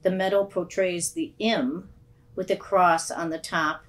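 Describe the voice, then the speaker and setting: An older woman presenting items on a shopping show, speaking softly and hesitantly with many ums, on a studio set with a lapel mic. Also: on the low side, fairly even intonation, measured pace, slight background noise. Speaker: shop presenter. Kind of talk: casual product chat.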